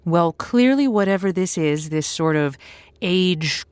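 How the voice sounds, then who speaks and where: A woman's voice: fairly low pitch, expressive, a little slow, clean tone, dry recording. A woman playing a chatty, affectionate advice show host who talks in a flirty, familiar way and uses pet names. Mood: sympathetic, advising. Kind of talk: improvised comedic call-in chat.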